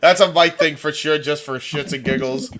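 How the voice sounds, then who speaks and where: A man's voice: fairly high pitch, moderate pace, a bit bright. A man co-hosting a livestream in casual chat, polite but casually sweary, with noise and clicks behind him. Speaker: livestream co-host. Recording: clear background noise, clicks.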